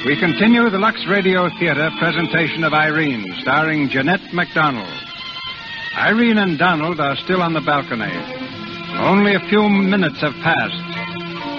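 Vintage radio narrator, male. Formal, theatrical, fairly high voice, muffled, with music behind. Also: lively intonation, normal speed. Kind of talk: 1940s radio scripted narration. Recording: muffled old radio broadcast.

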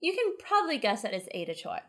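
A woman's voice: fairly high pitch, very animated, fast. A woman co-hosting a podcast, chatting enthusiastically about a TV drama. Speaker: podcast co-host.

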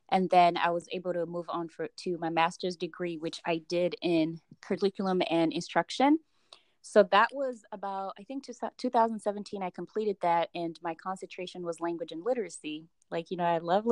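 A woman's voice: on the low side, dry recording. Young woman from East Africa, Luganda her first language, upbeat podcast guest, dry close sound. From East Africa (Uganda, Rwanda). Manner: upbeat, fillers, laughs often. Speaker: podcast guest, doctoral student. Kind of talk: casual interview chat.

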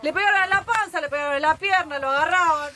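Woman playing a high-pitched, furious Argentine football pundit. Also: fast talker, crowd noise. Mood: indignant. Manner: emphatic, repetitive, exasperated. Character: furious ranting male football pundit, indignant. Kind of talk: comic radio reenactment of a sports debate.

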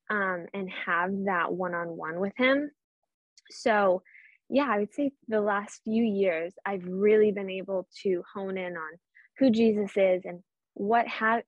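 A woman's voice: medium pitch, no room sound. A seventeen-year-old girl with a Boston accent, answering interview questions earnestly with frequent ums. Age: teens. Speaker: podcast guest. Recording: dull lo-fi sound.

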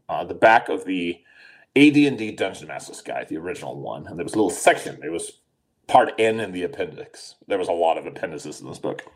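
Man from Denmark, forties, podcast co-host, low voice, animated delivery, rambling chat. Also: low-quality recording. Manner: rambling, many fillers and restarts. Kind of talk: casual chat.

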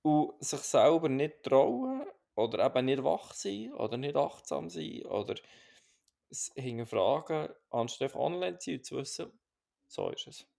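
A man with a Swiss German accent, co-hosting a podcast in a calm, reflective chat with many restarts. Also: on the high side, normal speed, creaky. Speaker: podcast co-host.

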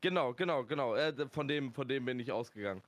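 A man's voice: mid-range voice, expressive, fast talker, no room sound. A man chatting casually and telling slangy anecdotes as a podcast guest. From northern Germany. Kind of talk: casual chat.

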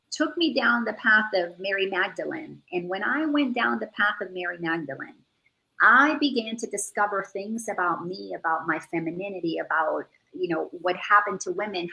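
A woman's voice: lowish voice, gravelly, sharp tone, poor audio. A woman who grew up in the Dominican Republic, speaking earnestly over a video call and repeating words as she searches for them. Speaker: guest. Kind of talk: casual spiritual chat.

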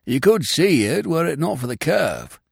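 A deferential country peasant giving directions, as a man lifts his voice well above his own. It is high and polite, a storybook part read close in a dry space. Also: sing-song, moderate pace, gravelly, slightly rough, dry recording, exaggerated voice.

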